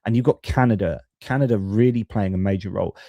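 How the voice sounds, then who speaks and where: A man's voice: fairly low pitch, fast talker, dark tone, dry recording. A British man hosting a political live stream, talking off the cuff in an informal, opinionated, chatty way. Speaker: host of a geopolitics live stream. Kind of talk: casual political commentary.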